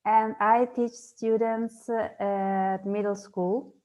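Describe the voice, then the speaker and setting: Italian school teacher, female, unhurried and halting. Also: medium pitch, fairly even intonation, darkish tone, highs cut off. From Italy. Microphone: video call.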